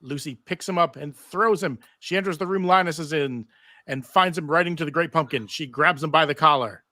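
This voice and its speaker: A man's voice: on the high side, fairly quick, pushed and bright, no echo, clean studio sound. A man reading stage directions as narrator at a live scripted table read.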